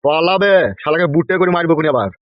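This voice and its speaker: A man's voice: a little high, fast, no echo, natural voice. A man voicing a boastful, threatening corrupt politician in a comic cartoon. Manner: threatening, swaggering. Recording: dull lo-fi sound.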